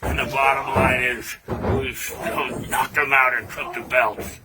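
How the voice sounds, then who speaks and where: A man, a pro wrestler, taunting and shouting threats in a promo. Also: mid-range voice, little pitch movement, measured pace. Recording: loud background noise.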